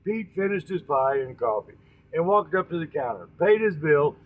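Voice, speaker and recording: A man hosting an informal storytelling session, speaking in a folksy, halting way, often repeating and correcting his words and addressing listeners directly. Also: on the high side, lively intonation, phone-quality sound. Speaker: storytelling host.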